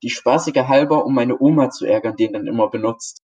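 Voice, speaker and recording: A man's voice: mid-range voice, fairly quick, warm tone. A man chatting casually on a podcast, talking in a self-deprecating way.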